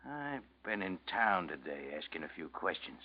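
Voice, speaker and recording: An actor playing a shady stranger in a 1940s radio noir, halting and casual with a faint menace. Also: male voice, low-pitched, big pitch swings. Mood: suspicious, needling. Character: scarred, shady stranger feigning a stalled car. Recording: muffled old radio broadcast.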